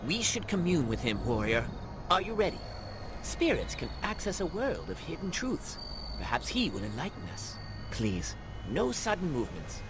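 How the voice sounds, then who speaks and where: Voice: mid-range voice, big pitch swings, moderate pace, noisy background. An actor voicing an earnest, composed spiritual ally who guides a warrior in a video game. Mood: calm, solemn. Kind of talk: video game cinematic acting. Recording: loud game music behind the voice. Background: loud game music.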